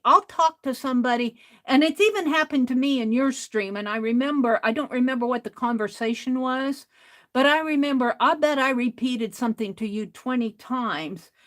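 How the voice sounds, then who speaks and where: Loud-voiced woman chatting to her stream. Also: sits high. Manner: loud voice, rambling with restarts. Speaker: art livestream host. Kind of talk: casual livestream chat to viewers.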